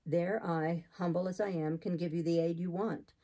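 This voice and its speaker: A woman voicing a zealous, austere male missionary in an audiobook, solemn, deep and unyielding as he exhorts. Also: fairly even intonation, moderate pace, dark tone. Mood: firm reassurance. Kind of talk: audiobook character reading.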